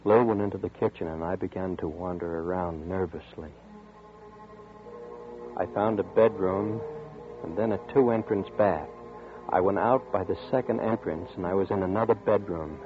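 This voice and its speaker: A man plays a jittery narrator recalling a place he seems to know, voice tense and low. The sound is thin and tinny like an old radio broadcast, with music underneath. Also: normal speed, lightly acted. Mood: uneasy. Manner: tense, uneasy first-person narration. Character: nervous young man haunted by déjà vu. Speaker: narrator and lead character. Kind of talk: 1940s radio acting.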